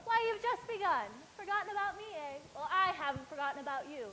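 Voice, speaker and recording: A woman's voice: very high-pitched, fast. A woman playing a spiteful wicked witch who sneers out her threats. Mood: menacing.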